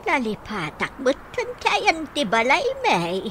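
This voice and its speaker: A woman's voice: sits high, huge pitch swings, slow, fairly bright tone, a little hiss or hum, full character voice. An actress playing a confused, forgetful old grandmother in a radio drama, hesitant and questioning. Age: older. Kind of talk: Ilocano radio drama acting.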